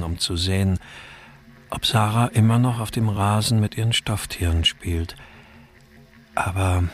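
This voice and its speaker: An actor playing a melancholy husband and writer who narrates a radio crime drama in a restrained, introspective way. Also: male voice, fairly low pitch, natural voice. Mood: sad, haunted, then tense recollection. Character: melancholy husband and writer narrating his missing daughter's story.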